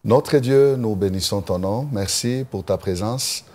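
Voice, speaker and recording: Deep-voiced pastor hosting a TV show. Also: male voice, a little slow, darkish tone. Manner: formal, churchly phrasing. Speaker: talk show host. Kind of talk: opening prayer and interview questions. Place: television studio.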